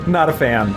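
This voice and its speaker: A man's voice: mid-range voice, gravelly, rough recording. A man hosting a game playthrough, musing to himself in casual commentary with mild exclamations. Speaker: gaming video host. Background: game music.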